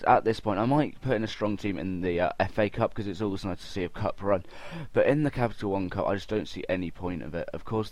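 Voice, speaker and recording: Low-voiced young man commentating gameplay. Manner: chatty, informal, addresses viewers directly. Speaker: gaming video host.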